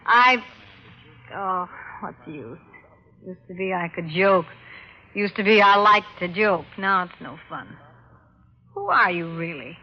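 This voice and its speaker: An actress playing a tipsy, sardonic young wife in a 1940s radio drama. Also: female voice, on the low side, slow, pauses often. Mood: tipsy, teasing. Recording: muffled, faintly noisy old radio recording.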